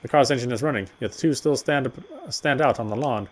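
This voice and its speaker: A man's voice: mid-range voice, fast. A man narrating a visual novel aloud in a dramatic storytelling style as he plays. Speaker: gamer reading the game text aloud. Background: faint game music.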